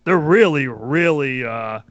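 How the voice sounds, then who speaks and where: A man's voice: medium pitch, lively intonation, takes it slowly, a bit bright, no room sound, muffled recording. A man co-hosting a casual interview, searching for his words as he goes.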